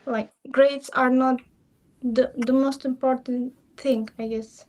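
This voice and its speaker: Slow, flat young woman from Uzbekistan. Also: high voice, a little hiss or hum. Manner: hesitant, says like a lot. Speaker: student discussing. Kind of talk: class discussion.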